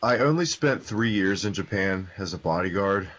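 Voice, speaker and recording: A man with a very deep, husky voice, joking dryly and deadpan as a podcast co-host. The audio is dull and lo-fi. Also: normal speed. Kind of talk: casual chat.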